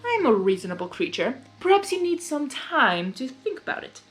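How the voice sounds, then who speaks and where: A woman's voice: sits high, big pitch swings, soft background music. Girl doing sly chaos spirit guy from a story, smug, theatrical, drags words out to sell his deal. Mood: persuasive, mock reasonable. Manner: theatrical, drawn-out persuasive delivery.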